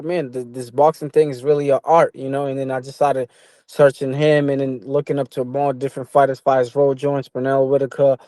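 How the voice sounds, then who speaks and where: Young man, mellow and halting, casual chat. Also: medium pitch, no echo. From Portugal, moved to the US at twelve. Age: young adult. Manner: repetitive, halting, frequent "you know". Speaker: professional boxer, interview guest.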